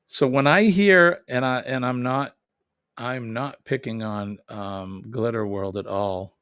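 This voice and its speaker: Slow-talking Boston man, dry sound. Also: medium pitch, fairly bright tone, smooth, no echo. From New England. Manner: rambling, self-correcting, chuckles often. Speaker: live stream host. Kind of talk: casual live chat reading viewer comments.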